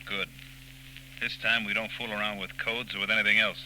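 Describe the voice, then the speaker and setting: A tough, hot-tempered gang boss in a 1940s radio crime play. His voice is fairly low and very hard-edged, blunt and menacing. A slight hum runs under the old recording. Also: little pitch movement, brisk, pushed and bright, low-quality recording.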